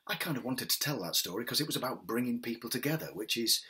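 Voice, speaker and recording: A middle-aged man with a husky, breathy voice telling a story expressively and without hurry, with dramatic pauses. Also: mid-range voice, lively intonation, sharp tone. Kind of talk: oral storytelling for families. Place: indoors, small wood-panelled home room. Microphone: webcam at desk distance.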